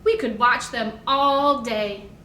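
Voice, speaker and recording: A high-pitched American woman speaks in an echoey room with faint background noise. She leads toddler storytime, addressing small children in simple, encouraging phrases and questions. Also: slow pace, sharp tone, recorded from a distance. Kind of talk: picture-book reading and sing-along for toddlers.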